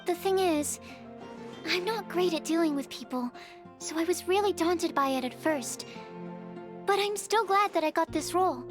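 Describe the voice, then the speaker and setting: A woman's voice: very high voice, normal speed. A woman playing a shy, earnest young alchemist in a video game, with polite, precise, scholarly phrasing. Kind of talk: video game character voice acting. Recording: background music under the voice.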